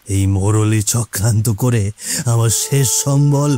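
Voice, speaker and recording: A man voicing a vengeful ghoul, a murdered village shopkeeper, in a grave, dramatic, halting cartoon delivery. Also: mid-range voice, dark tone, natural voice. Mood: aggrieved. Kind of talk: Bengali animated moral-story acting. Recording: faint music behind.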